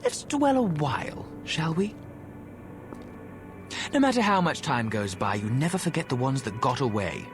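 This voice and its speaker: An actor playing a suave, decadent ancient undead Egyptian fixer in a video game, droll and languid with mocking theatrical asides. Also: male voice, mid-range voice, very animated, moderate pace, pauses often, over music, lightly acted. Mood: droll, wistful. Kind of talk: video game cutscene acting.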